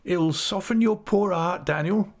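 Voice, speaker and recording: A tearful widow pleads in a high, quavering voice, as a man reads her part. There is a gravelly edge under the lifted tone. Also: a little slow. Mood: pleading. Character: grieving older woman, a tearful widow.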